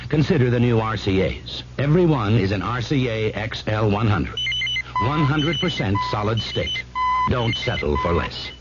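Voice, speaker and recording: A man's voice: on the low side, huge pitch swings, normal speed. An American man reading a scripted radio commercial as the announcer. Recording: muffled, noisy old radio broadcast.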